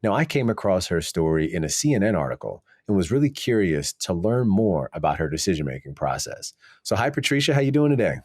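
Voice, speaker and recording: A man's voice: low voice, very animated, brisk, hardly any pauses, darkish tone, no room sound, clean studio sound. A man hosting an interview podcast, talking in an easygoing way with casual fillers. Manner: easygoing, uses fillers like "you know". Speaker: podcast host. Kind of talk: conversational interview.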